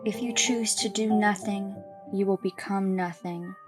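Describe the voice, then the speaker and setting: An actress playing a pious, calmly menacing schoolteacher in a horror audio drama, preaching in a measured way. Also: female voice, mid-range voice, natural voice. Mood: solemn, preachy. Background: music.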